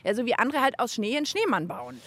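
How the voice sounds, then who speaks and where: High, breathy woman co-hosting radio. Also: very animated, quick pace, a bit bright. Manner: cheerful, chatty, thinks aloud. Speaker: children's radio co-host. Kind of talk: lively radio chat while building. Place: outdoors among autumn leaves.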